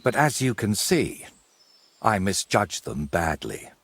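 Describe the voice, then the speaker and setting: An actor playing a wry, courteous, middle-aged medieval painter in a video game, with a husky voice and a wordy, theatrical way of talking. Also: male voice, fairly low pitch, sing-song, takes it slowly, fairly bright tone, no echo, heavily acted. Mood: aggrieved. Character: wry, wordy ageing medieval painter, robbed but polite. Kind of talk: game cutscene dialogue.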